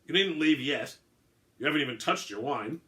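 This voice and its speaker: A man's voice: mid-range voice, expressive, normal speed, pushed and bright. A man playing a scruffy, weary, sardonic vampire hunter who is dry, needling and opinionated, in a story reading. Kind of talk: dramatic reading of an all-dialogue short story.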